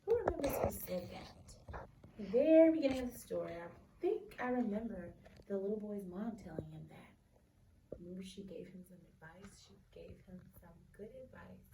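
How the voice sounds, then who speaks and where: A woman teacher reading a picture book aloud expressively, pausing to question and explain in an instructive, emphatic way. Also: medium pitch, animated. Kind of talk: expressive story read-aloud with questions to children. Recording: echoey room, distant mic, faint noise.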